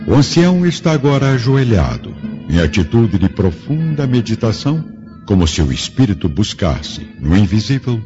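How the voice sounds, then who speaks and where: Deep, dramatic male radio narrator. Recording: dull lo-fi audio with music behind.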